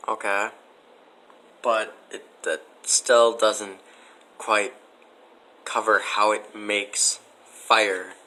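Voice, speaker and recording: A skeptical man argues as a guest on a casual podcast. His voice is bright and forceful but rather monotone. He speaks slowly and haltingly as he debates each point. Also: fairly low pitch.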